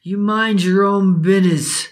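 A drunk, bullying father who slurs and sneers, dragging his words out slowly, as a woman puts him on in a storybook. His voice is mean and mocking, with a lazy, sloppy edge. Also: mid-range voice, steady tone, natural voice. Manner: slurred and sneering. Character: drunk abusive father, snarling.

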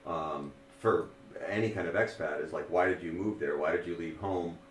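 A man's voice: low-pitched, moderate pace, far from the mic. A man chatting casually as a co-host, with a playful, joking way of chiming in. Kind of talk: casual chat. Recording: echoey room, off-mic, faint noise.

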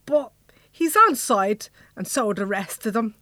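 A woman's voice: medium pitch, expressive, a little slow, bright, forceful tone, dry recording. A woman acting the bossy, no-nonsense leader of a secret band in an Irish comedy audio drama, colloquial, with a Dublin accent. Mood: reassuring.

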